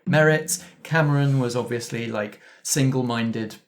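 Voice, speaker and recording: A man hosts a casual tabletop gaming discussion as game master. He talks at length, explaining and thinking aloud, with an average-pitched voice. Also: measured pace. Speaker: game master and podcast host.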